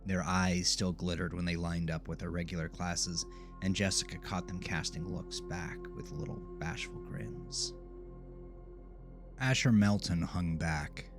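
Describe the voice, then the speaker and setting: Male horror narrator, very low register, measured literary delivery, music bed throughout. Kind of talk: scripted horror audio drama narration. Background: music under the voice.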